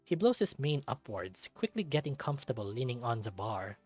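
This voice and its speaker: A man's voice: medium pitch, sing-song, a little fast, a little mellow, husky, soft background music. A man reading a first-person story aloud in a dramatic narrating style, as for a visual novel.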